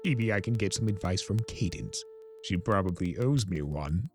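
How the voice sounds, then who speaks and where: A man playing an immortal trickster creature, awkward, tender and teasing, with hesitant dramatic pauses in a fan audio drama. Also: low voice, lively intonation. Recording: music behind the voice.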